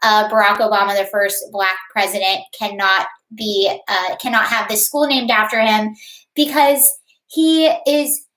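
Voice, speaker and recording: A woman with a high-pitched voice hosting a casual livestream from home, chatting in a rambling way and greeting viewers by name. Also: fairly even intonation, a little slow, a bit bright, reverberant, poor audio. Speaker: livestream host.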